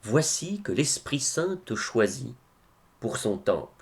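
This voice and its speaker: A man reciting devotional poetry in a solemn style, with measured, expressive phrasing and pauses. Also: mid-range voice, creaky.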